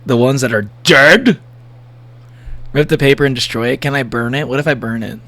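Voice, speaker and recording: A man with a gravelly voice giving a casual livestream reaction, rambling and joking playfully as he comments and reads bits aloud. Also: mid-range voice, moderate pace, pushed and bright. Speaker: streamer reacting to horror videos.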